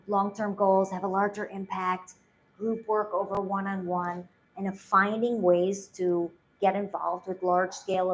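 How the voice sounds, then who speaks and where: A soft-spoken woman with a fairly low voice presents her coaching work in a calm, measured way. A faint hiss sits under her voice. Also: low-quality recording. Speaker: presenting founder. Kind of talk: pitch presentation and Q&A.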